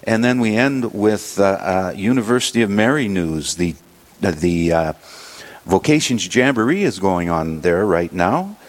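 A man hosting a religious radio show, leading prayer and introducing guests in a halting way with many fillers. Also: low voice, lively intonation.

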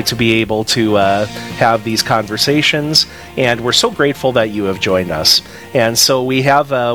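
A man's voice: medium pitch, a bit bright, dry recording. A man hosting a radio show as a priest, introducing a guest with many uhs and ums.

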